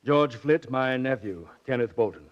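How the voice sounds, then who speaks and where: An actor playing a scheming, controlling uncle in 1940s radio drama, formally polite over a short temper. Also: male voice, mid-range voice, lively intonation, moderate pace, soft background music, lightly acted. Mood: smooth, controlling. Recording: dull vintage radio recording.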